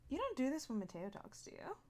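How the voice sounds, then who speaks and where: A woman's voice: high-pitched, huge pitch swings, fast. A woman talking in character during a roleplay, playful and slipping into Spanish phrases. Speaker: roleplay player.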